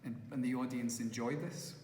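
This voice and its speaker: A man's voice: medium pitch, fairly even intonation, moderate pace, warm tone, slight background noise. A Scottish man with a Glasgow accent, a musician turned first-time filmmaker, giving rambling interview answers full of restarts. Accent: Glaswegian. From Scotland. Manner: rambling, with many restarts and acted quotes. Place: festival venue. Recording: echoey hall, faint ambience.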